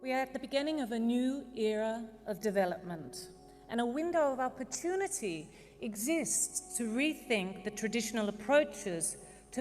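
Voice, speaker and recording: A woman's voice: fairly high pitch, darkish tone. A woman delivering a formal, prepared speech as an event speaker. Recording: reverberant hall, faint music underneath. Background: faint music.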